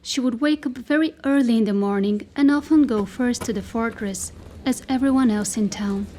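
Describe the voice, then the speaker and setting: A woman performing as the storyteller of a dystopian folk tale, reading scripted literary narration in a measured, descriptive way. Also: a little high, mellow, slight background noise, lightly acted.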